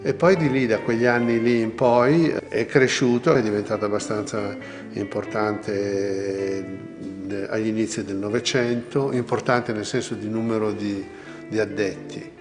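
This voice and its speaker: An older man giving a halting documentary interview. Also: lowish voice, fairly even intonation, in a large hall. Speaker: textile mill owner. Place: indoors, seated in a wood-panelled office. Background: plucked guitar music.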